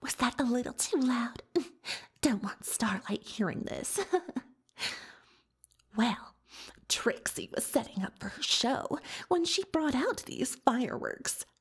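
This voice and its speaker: A woman voicing a boastful, dramatic young female unicorn magician in soft-spoken, often whispered roleplay ASMR, theatrical and self-important. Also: on the high side, lively intonation, takes it slowly, long pauses, husky, exaggerated voice. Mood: confiding, defensive. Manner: theatrical, self-important, speaks of herself in third person.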